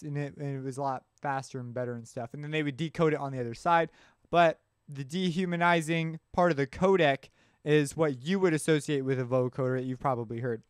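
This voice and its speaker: Guy explaining stuff in a laid back tutorial, kinda high clean voice, dry close mic. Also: clear, resonant tone, dry recording. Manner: informal, thinking aloud. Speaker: music production tutorial instructor. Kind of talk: casual explanatory screencast narration.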